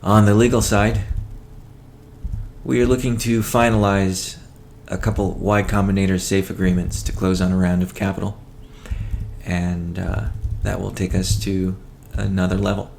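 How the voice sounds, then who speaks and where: A man presenting a company update as a chief executive, in a measured, matter-of-fact way with halting phrasing. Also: low voice, little pitch movement, creaky, darkish tone, a little hiss or hum. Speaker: company CEO hosting a weekly call. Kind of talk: business update presentation, partly read from notes.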